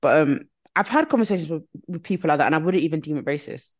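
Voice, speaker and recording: Young woman chatting, lowish tone, says like a lot, does little impressions of people. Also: expressive, quick pace. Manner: chatty, many "like" fillers, acts out quoted people. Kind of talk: casual podcast chat.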